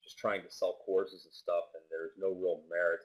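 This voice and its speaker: A man presenting a live online trading webinar in a loose, casual way, with many fillers and restarts, speaking with a loud voice into a microphone set well back. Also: low voice, level tone, moderate pace, dull audio. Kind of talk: casual live webinar talk with screen share. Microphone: desk microphone set far back.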